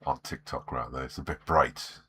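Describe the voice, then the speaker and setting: A man with a croaky sore throat, chatting easily with live viewers and greeting them by name while he works on a drawing. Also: very deep voice, fairly even intonation, moderate pace, bright, forceful tone, a little hiss or hum. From Wales. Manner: easygoing, greets viewers by name, self-deprecating. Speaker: artist streaming live. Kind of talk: relaxed live-stream chat while drawing.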